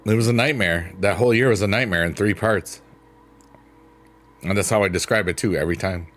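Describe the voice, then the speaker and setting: A man with a booming voice on a late-night stream, chatting in a rambling, thankful way and answering his chat. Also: brisk, a little hiss or hum. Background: soft piano music.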